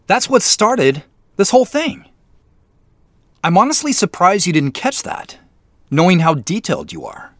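A man's voice: high-pitched, sing-song, unhurried, pauses often, no room sound. A man playing a casual, probing detective in a naturalistic audio drama, dry and inquisitive. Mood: pointed, suspicious. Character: dry, inquisitive male detective working a case.

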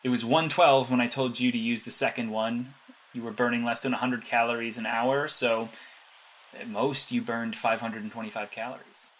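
A man's voice: mid-range voice, fairly even intonation, moderate pace, a bit bright, a little hiss or hum, natural voice, poor audio. A man playing an analytical superhero mastermind in an audio drama, with a precise, explanatory way of talking. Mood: analytical, matter-of-fact. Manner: precise, explanatory, matter-of-fact. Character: cerebral analytical male superhero mastermind guiding a young intern.

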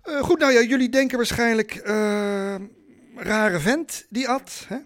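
Woman acting halting, awkwardly cheerful new partner. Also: fairly high pitch, natural voice. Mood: nervously cheerful. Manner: halting, hesitant, with nervous chuckles. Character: awkward, eager-to-please middle-aged new partner, nervously cheerful.

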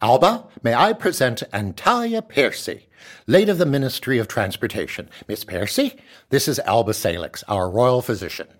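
Bumbling, self-pleased monarch: plummy, fussy and formal, very animated delivery, a touch husky, comedy audio drama. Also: male voice, medium pitch, normal speed. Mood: pompous. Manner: plummy, dithering, formal phrasing. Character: pompous, fussy, easily delighted bumbling king.

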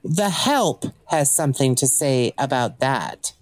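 A smug, low-voiced female assassin. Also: animated, takes it slowly, noisy background, heavily acted. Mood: smug, dry. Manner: smug, theatrical, drawling taunts. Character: cocky legendary female assassin and thief for hire. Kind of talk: audio drama acting.

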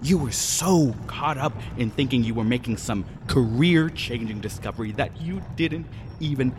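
A man's voice: medium pitch, slight background noise, close to an everyday voice. An actor playing a vengeful Mayan man in an audio drama, mocking and menacing as he toys with an intruder. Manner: taunting, deliberate, menacing.

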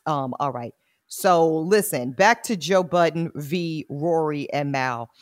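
Lady lawyer hosting a podcast, big booming voice, lively slangy talk, dry close sound. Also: no room sound. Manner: lively, frank and slangy, quick laughs. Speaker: podcast host and attorney. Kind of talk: casual podcast chat.

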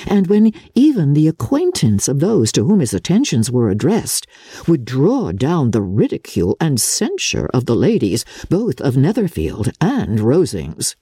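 A woman narrating classic fiction in a theatrical, measured and deliberate literary style, with a husky voice. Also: deep voice, sing-song, mellow, no echo, clean studio sound. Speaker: audiobook narrator.

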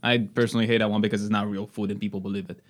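A man's voice: lowish voice, level tone, rapid-fire. A man in a casual podcast chat, explaining schemes step by step in a matter-of-fact way.

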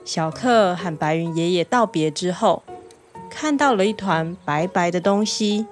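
Woman narrator, gentle bedtime tale, soft music underneath. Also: on the low side, unhurried. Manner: gentle, storytelling with lively exclamations. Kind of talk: children's bedtime story narration.

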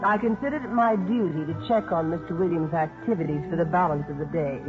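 A woman's voice: on the low side, a little fast, music in the background, close to an everyday voice. A woman plays a prim young female official in an old radio play. She speaks with formal, precise disapproval, and the vintage recording is dull and muffled. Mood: exasperated narrating. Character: prim, indignant young woman child-welfare investigator. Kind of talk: 1950s radio drama acting and narration.

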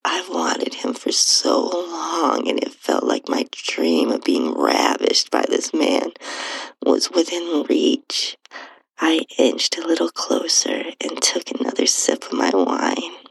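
Female narrator for intimate erotic audio fiction: slow, breathy and sultry, swinging widely in pitch, close dry sound. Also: big pitch swings, dry recording, rough recording. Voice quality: breathy, whispery, husky. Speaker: podcast host and narrator reading own story. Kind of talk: intimate first-person erotic audio storytelling.

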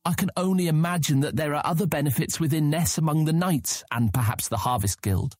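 A man's voice: fairly high pitch, brisk, darkish tone, no echo, studio quality, lightly acted. A man playing a young guildmaster in a fantasy audio drama, earnest and formal, striving to sound authoritative. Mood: earnest pleading. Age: young adult.

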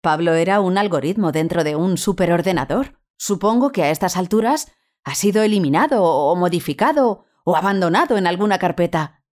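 Actress as a low-pitched, guarded woman astronaut. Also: lively intonation, fairly quick, a little mellow, lightly acted. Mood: defensive. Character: composed, guarded Spanish woman astronaut turned university researcher. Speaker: interview guest. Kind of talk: scripted fictional interview.

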